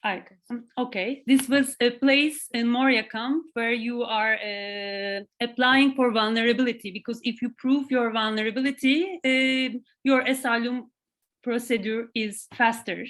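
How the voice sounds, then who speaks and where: A woman's voice: on the high side, fairly even intonation, unhurried, a bit bright. A woman moderating a panel, explaining steadily and handing the floor to other speakers. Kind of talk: presentation.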